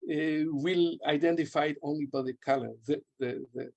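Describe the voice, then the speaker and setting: Older male lecturer, Hebrew speaker, fairly high gravelly voice, halting delivery, home study webcam. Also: fairly even intonation, darkish tone. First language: Hebrew and German. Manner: halting, repeats and restarts words. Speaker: guest lecturer. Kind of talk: Q&A after a lecture. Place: home study lined with bookshelves. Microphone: video call, laptop webcam.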